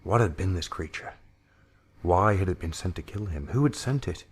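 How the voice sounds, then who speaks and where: Low, creaky-voiced male storyteller. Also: lively intonation, normal speed. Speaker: narrator. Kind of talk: dramatic fan audiobook reading of science-fiction horror.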